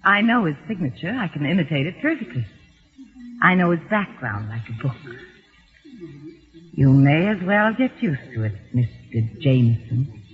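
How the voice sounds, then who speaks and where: An actress playing a cold, scheming, greedy wife in an old-time radio drama, mocking and condescending in manner. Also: female voice, deep voice, sing-song, normal speed. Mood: calculating. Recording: muffled old radio recording, reverberant, music.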